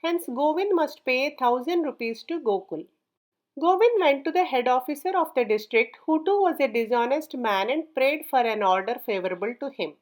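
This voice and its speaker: A woman narrating a children's story from a script in a measured, clearly enunciated read-aloud manner. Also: sits high, expressive, a little mellow, rough recording.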